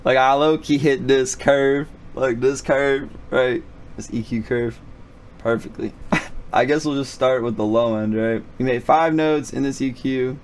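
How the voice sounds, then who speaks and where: A man with a thin, raspy voice at an average pitch talks through a mixing tutorial. He is casual and slangy, swears freely and keeps checking that the listener follows. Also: a little hiss or hum. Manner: slangy, profane, often checks with "right?". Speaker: music producer giving mixing tutorial.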